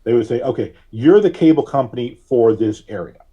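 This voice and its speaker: A man speaking as a podcast guest, recalling things casually in a halting, deliberate way with frequent long hesitations. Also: mid-range voice, expressive, dark tone, husky, dull audio. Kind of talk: casual interview recollection.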